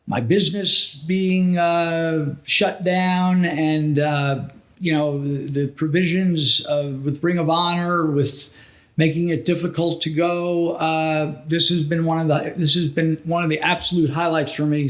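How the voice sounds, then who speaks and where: A man co-hosting a podcast in casual, halting conversation with joking asides. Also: on the high side, steady tone. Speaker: podcast co-host.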